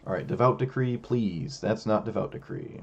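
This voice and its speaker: Young male streamer, low voice, hoarse and fading, muttering self-critical game commentary on a dull lo-fi mic. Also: moderate pace. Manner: musing, self-critical, talks to himself. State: losing his voice, hoarse. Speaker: streamer playing a card game. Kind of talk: casual gameplay commentary.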